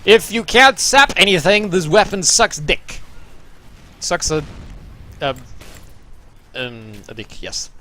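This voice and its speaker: Bright-voiced Norwegian gaming commentator. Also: male voice, sits high, very animated, slow, long pauses, slight background noise. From Norway. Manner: loose, profane and sarcastic. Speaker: game commentator. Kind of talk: casual commentary over gameplay replays.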